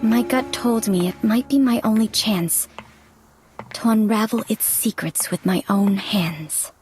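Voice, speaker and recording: An actress playing a poised, observant reporter and investigator in a video game, speaking in a measured, analytical, polite way. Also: female voice, mid-range voice, music in the background, lightly acted. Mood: reflective, analytical. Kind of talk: scripted game narration and dialogue.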